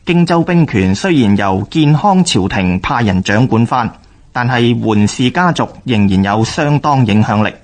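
A man with a Hong Kong Cantonese accent reads scripted historical radio narration in a formal, measured way. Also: medium pitch, lively intonation.

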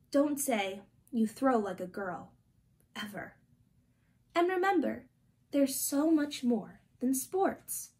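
Storytime reader: woman, 20s, high pitch, unhurried delivery, gently expressive, reading to kids. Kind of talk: reading a children's picture book aloud. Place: small indoor room.

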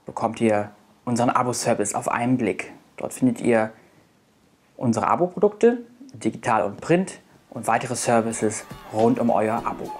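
A man's voice: medium pitch, normal speed. A man with a German accent reads a scripted promotional explainer as a voice-over narrator. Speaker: narrator of a subscription service tutorial. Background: music.

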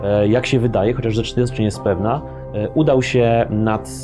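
A man's voice: fairly low pitch, steady tone, moderate pace. A middle-aged man recounting events in an interview, talking discursively with many fillers and asides, seated in an office. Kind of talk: interview explanation. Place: office with bookshelves. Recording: music bed underneath.

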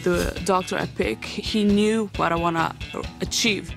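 A woman's voice: lowish voice, steady tone, unhurried, gravelly. A young woman from Serbia, a reality-show patient, boasting and laughing through a confessional interview. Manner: boastful, laughs between lines. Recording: music behind the voice.

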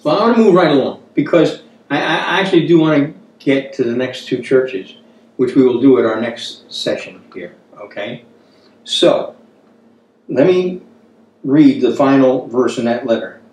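A man's voice: medium pitch, normal speed, darkish tone. A man in his fifties teaching a Bible study like a sermon, earnest and exhorting, repeating phrases for emphasis. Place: small room at a wooden table, indoors. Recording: echoey room, distant microphone.

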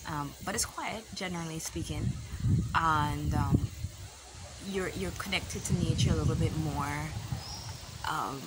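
A young woman with a Barbadian accent chatting casually to a phone camera outdoors, relaxed and rambling, with many pauses and fillers. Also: deep voice. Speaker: vlogger. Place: outdoors in a rural yard. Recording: loud outdoor noise behind.

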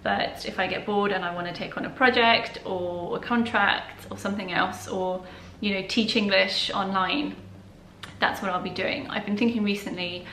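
A bright, clear-toned young woman whose first language is Polish chats openly through viewer questions. She talks to the camera from a living room, and the room's echo carries around her voice. Her delivery is casual and friendly. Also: medium pitch, steady tone, normal speed, a little hiss or hum. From Poland. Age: young adult. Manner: chatty and open, answering viewer questions. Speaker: personal finance YouTuber. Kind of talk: casual Q&A vlog. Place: living room at home, indoors.

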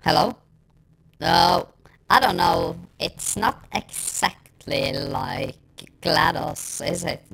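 A man's voice: a little high, lively intonation, slow, vocal fry, fairly bright tone. Man through a crackling robot voice filter. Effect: robotic voice changer with distortion and reverb. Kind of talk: casual demo of a voice changer.

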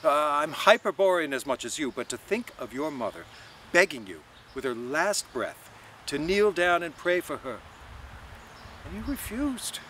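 A man's voice: fairly high pitch, big pitch swings, unhurried, sharp tone, a little hiss or hum, voice pushed up, exaggerated voice. A man voicing a mocking, theatrical young man who declaims and teases in a sing-song way. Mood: reproachful.

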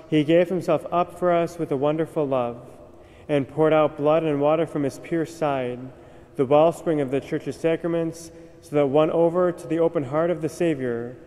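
A young man, a priest, reciting the prayers of Mass at the altar in a measured, solemn way. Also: fairly high pitch, darkish tone. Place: large cathedral, at the altar. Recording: long cathedral reverb.

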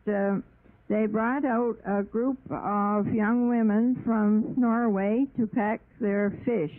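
A thin, phone-like recording carries a woman's slow commentary on old footage. Her voice has a gravelly creak as she describes each scene informally. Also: mid-range voice. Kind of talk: unscripted descriptive commentary with recollections. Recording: narrow phone-like, old radio sound.

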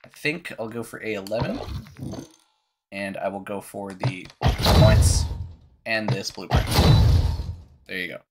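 A young man with a cough-roughened, scratchy voice, casually walking viewers through a game menu step by step into his headset at home. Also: lowish voice, unhurried, long pauses. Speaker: gaming YouTuber. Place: small room at home.

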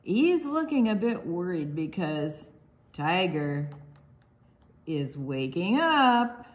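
A woman reads a picture book aloud to small children. Her pitch moves widely and expressively. She takes her time, stretching words out and dropping into hushed asides. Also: sing-song, takes it slowly. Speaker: children's storytime reader.

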